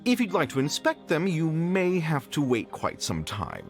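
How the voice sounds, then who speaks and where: An actor playing an eager, obliging merchant in a video game, speaking in a formal, deferential way. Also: male voice, on the high side, wildly swooping pitch, normal speed. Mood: apologetic. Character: courteous, eager male merchant, self-assured and obliging. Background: game music.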